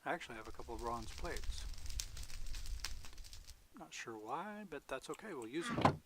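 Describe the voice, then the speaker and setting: A man's voice: medium pitch, big pitch swings, moderate pace, heavy background noise. A man talking to himself as he plays a game, musing in half-whispered asides while losing his voice. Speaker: gaming video host. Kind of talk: casual solo gameplay commentary.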